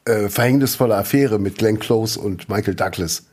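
A man's voice: on the low side, normal speed, darkish tone, husky. A man in his fifties, co-hosting a podcast in casual chat, telling rambling stories and correcting himself as he goes. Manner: rambling, self-correcting storyteller. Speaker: podcast co-host.

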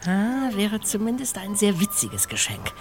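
A man's voice: sits high, huge pitch swings, unhurried, full character voice. A man playing an exasperated, sarcastic teenage boy detective in an audio drama, grumbling and exclaiming. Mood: wry. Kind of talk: German audio drama dialogue. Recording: music behind the voice.

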